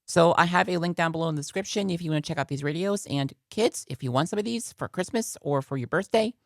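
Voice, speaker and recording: A woman's voice: lowish voice, fast talker, no room sound, studio quality. A woman hosting a casual product review in a conversational way, often repeating phrases for emphasis. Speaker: video host and product reviewer.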